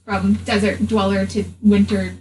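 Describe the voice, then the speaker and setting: A woman's voice: mid-range voice, little pitch movement, a little slow, darkish tone. A woman playing a tabletop game, chatting casually about her character. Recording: distant mic, faint room noise.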